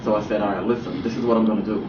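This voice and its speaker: A young man with a Jamaican accent talks in an easy, laid-back way, mid-pitched. He sounds far from the mic in an echoey room. The sound is muffled, with a clear layer of background noise. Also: very fast. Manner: relaxed, peppered with "you know what I'm saying". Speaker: guest actor. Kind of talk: casual interview chat. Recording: distant roomy muffled recording, noisy.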